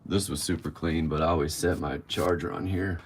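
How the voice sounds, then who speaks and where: A man's voice: low-pitched, fairly even intonation, brisk, a little hiss or hum. A man around fifty hosting a casual live-stream Q&A, candid and blunt, rambling and swearing casually. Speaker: livestream host answering viewer questions.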